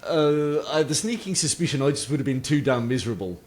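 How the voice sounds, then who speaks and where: A man's voice: medium pitch, lively intonation, fast, slight background noise. A man hosting an interview, thinking aloud in a hesitant, reflective way with frequent ums. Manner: reflective, hesitant, many ums and short backchannel replies. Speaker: host. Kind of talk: casual interview chat.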